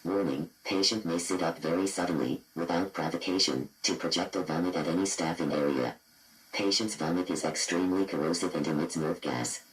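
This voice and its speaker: A man's voice: low-pitched, a little fast, fairly bright tone, poor audio. A man voicing a cold, clinical computer system that reads out horror patient files in clipped, impassive fragments. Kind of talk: scripted horror database readout.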